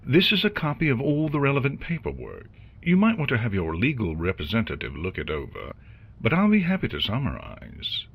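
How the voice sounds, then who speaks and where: An actor playing a smug unicorn lawyer, formal, measured and condescending, in a fan fiction audio drama. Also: male voice, medium pitch, wildly swooping pitch, vocal fry, slightly rough, dull audio, exaggerated voice. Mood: smug, officious. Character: smooth-talking smug male unicorn corporate lawyer.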